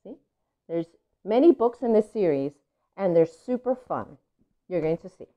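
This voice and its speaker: A woman's voice: fairly low pitch, expressive, normal speed. A woman, a native Spanish speaker, playfully and expressively reading a picture book aloud to children. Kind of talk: animated read-aloud storytelling. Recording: dull lo-fi audio.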